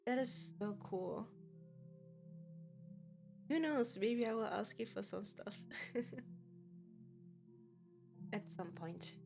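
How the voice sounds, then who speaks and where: A woman's voice: medium pitch, slow, gravelly, pauses often, music in the background. A woman from Germany with a German accent, chatting casually with viewers as a game streamer and laughing often. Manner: giggly, chatty, often laughs between sentences.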